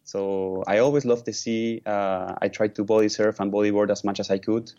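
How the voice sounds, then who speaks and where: A man from Peru, an environmental lawyer and podcast guest, answering questions in a halting way with many restarts and fillers. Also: lowish voice, flat delivery, dark tone, dull audio. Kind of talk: conversational interview answers.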